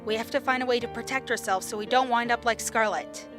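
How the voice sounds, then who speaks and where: A woman's voice: fairly high pitch, quick pace, natural voice. A woman playing a blunt, decisive young female leader in an audio drama. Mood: grim. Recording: music clearly behind.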